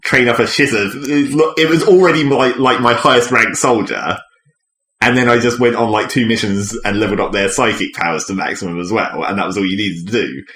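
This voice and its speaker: A man's voice: fairly low pitch, fairly quick, fairly bright tone. A man in a casual gaming chat, explaining things informally with plenty of "sort of". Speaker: podcast panelist. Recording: echoey room.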